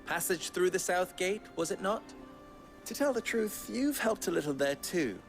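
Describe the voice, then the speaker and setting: A man playing a suave, wry underworld fixer in a video game cutscene, with arch, formal phrasing. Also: fairly high pitch.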